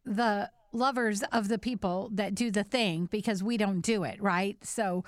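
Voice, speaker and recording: A woman's voice: medium pitch, measured pace, no room sound, clean studio sound. A middle-aged woman, a radio host, chatting earnestly in a casual panel discussion and thinking aloud.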